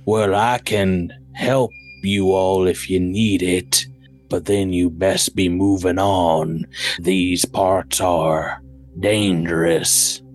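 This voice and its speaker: A man doing a gruff old rancher woman. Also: deep voice, steady tone, faint music behind. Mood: warning. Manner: folksy rural drawl, slow and measured.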